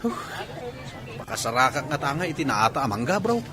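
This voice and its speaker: A man's voice: medium pitch, sing-song, brisk, pushed and bright. A man with an Ilocano Filipino accent playing a dutiful, hesitant young husband in a radio drama. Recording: music and crowd noise behind.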